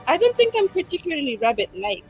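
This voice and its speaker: A woman playing a tabletop game, chatting casually in an animated, jokey way with plenty of slang. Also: high-pitched, fast talker, faint music behind, low-quality recording. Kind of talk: casual, playful table chat.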